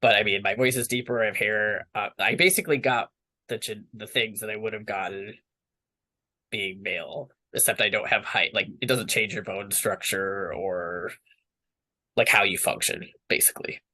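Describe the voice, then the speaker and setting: A man's voice: on the low side, normal speed, sharp tone. A young man speaking candidly as an interview guest, rambling with many fillers and restarts. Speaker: interview guest, water professional.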